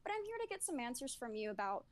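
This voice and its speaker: A woman's voice: a little high, big pitch swings, fast. A woman in a heated online debate, insistent and pressing her questions.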